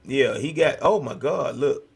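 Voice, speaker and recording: An American man hosting a talk show, speaking in an animated, preacher-like conversational way, emphatic and often repeating phrases for effect. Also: mid-range voice, unhurried, vocal fry. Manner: emphatic, repetitive. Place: virtual video show.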